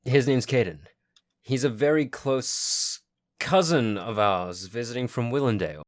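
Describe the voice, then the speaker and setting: A man acting a cool, guarded character with a slow, pointed delivery in an amateur Minecraft roleplay. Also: medium pitch, no echo, clean studio sound, lightly acted.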